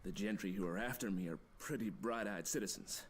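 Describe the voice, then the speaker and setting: Guy acting a deep voiced wary gent on the run, formal old-timey talk, visual novel, noisy recording. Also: natural voice. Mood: earnest, wary. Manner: measured, formal, old-fashioned speech.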